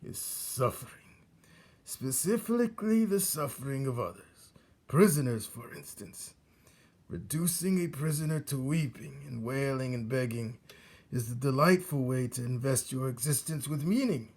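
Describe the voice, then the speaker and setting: A man's voice: mid-range voice, lively intonation, measured pace, darkish tone, pitched above the performer's own voice. Man voicing a gleefully cruel old rat, emphatic and lifted. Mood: gleeful contempt. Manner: lecturing, relishing each word with emphatic stresses. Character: very old, gleefully cruel one-eared male dungeon rat.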